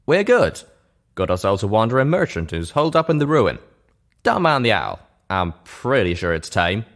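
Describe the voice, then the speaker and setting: A man voicing a brisk, easygoing male flying pony in a story, with a folksy, casual manner. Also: on the low side, wildly swooping pitch. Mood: upbeat, casual.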